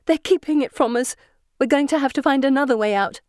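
A woman's voice: fairly high pitch, moderate pace, darkish tone, dry recording, clean studio sound, pitched above the performer's own voice, lightly acted. A woman voicing a desperate, decisive teenage heroine in an audiobook, urgent and anxious. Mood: anxious resolve.

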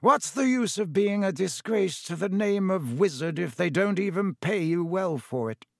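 Cold aristocratic storybook villain, a male reader acting, disdainful drawl pitched high, dry close sound. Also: lively intonation, normal speed, creaky, no echo, heavily acted. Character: cold, haughty adult aristocratic villain, sneering man.

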